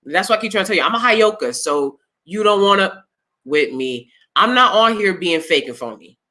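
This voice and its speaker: A woman with a loud, clear voice giving her opinions in a casual vlog, blunt and emphatic as she speaks straight to viewers. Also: mid-range voice, animated, normal speed. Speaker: YouTube commentator.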